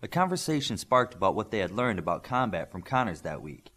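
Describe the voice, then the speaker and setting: Expressive male audiobook narrator. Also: fairly low pitch, fairly quick. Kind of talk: scripted fiction narration.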